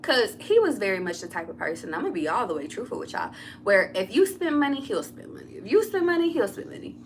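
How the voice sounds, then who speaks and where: A woman's voice: mid-range voice, sing-song, fast, a little hiss or hum. A woman, a tarot reader, telling a personal story to her viewers in a blunt, candid way, swearing freely and talking straight to the audience.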